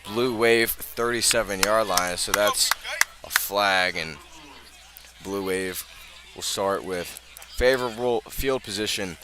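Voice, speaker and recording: An American man doing live play-by-play radio commentary of a high school football game. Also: takes it slowly, background noise. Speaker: play-by-play announcer.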